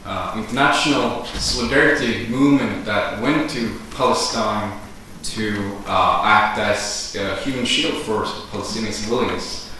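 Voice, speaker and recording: A man's voice: lowish voice, unhurried, hardly any pauses, pushed and bright. A man speaking as an activist on a debate panel, earnest, with filler ums. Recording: echoey hall, distant mic, faint noise.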